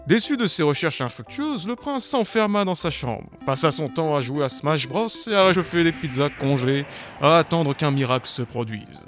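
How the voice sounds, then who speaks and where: A man's voice: on the high side, big pitch swings, moderate pace, a bit bright, soft background music. A man narrating a cartoon fairy tale in a mock-solemn, playful way. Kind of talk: storybook narration.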